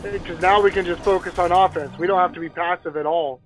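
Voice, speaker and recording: A man's voice: high voice, fast, slight background noise, poor audio. A man co-hosting a casual gameplay commentary, joking and bantering playfully. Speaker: gaming co-host.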